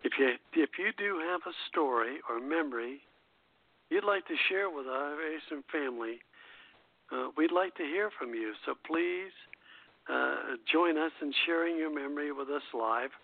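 Tinny phone-line audio, older male radio host, slightly high voice, folksy halting delivery. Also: gravelly. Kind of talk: radio show sign-off to listeners. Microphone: telephone call-in.

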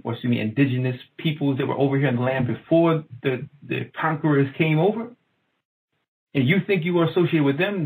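A man's voice: mid-range voice, narrow, tinny audio. A man reading scripture aloud and adding earnest comments in a Bible study. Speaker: scripture reader and co-host.

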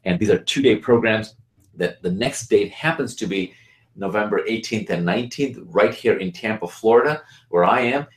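A man from Bangladesh giving an energetic, persuasive sales pitch for his real estate program. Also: moderate pace, echoey room. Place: Tampa, Florida.

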